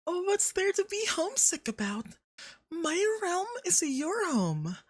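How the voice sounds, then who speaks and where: Casting: a woman as a vain, flirty androgynous deity for ASMR roleplay, high and very animated, smugly possessive. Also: no room sound, clean studio sound, full character voice. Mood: doting, coaxing. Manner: unhurried, smug, theatrical, doting.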